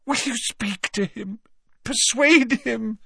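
A man's voice: very high voice, slow, gravelly, fairly bright tone, slightly rough, no room sound, studio quality, pitched above the performer's own voice, heavily acted. A man reading an audiobook, voicing a distraught aristocratic mother who pleads through sobs. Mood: pleading. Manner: sobbing, desperate pleading. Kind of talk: audiobook character voice.

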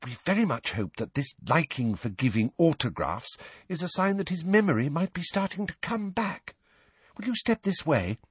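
Audiobook healer played by a man: motherly woman, high lilting voice, soft-edged, fussing and confiding. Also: sing-song, moderate pace, slightly rough, no room sound, exaggerated voice. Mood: kindly, chatty. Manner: confiding, cheerfully chatty.

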